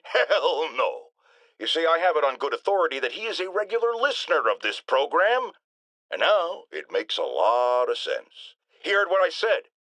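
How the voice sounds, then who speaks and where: An actor playing a pompous, outraged middle-aged radio host from a video game, ranting in an emphatic, sarcastic, theatrical way. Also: male voice, fairly high pitch, fairly bright tone, no echo. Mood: smug.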